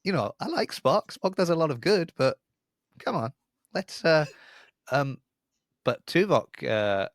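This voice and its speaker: A man's voice: fairly high pitch, big pitch swings, measured pace, long pauses, no room sound, clean studio sound. A man hosting a podcast in casual fan discussion, jokey and given to voicing imagined remarks. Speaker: podcast host.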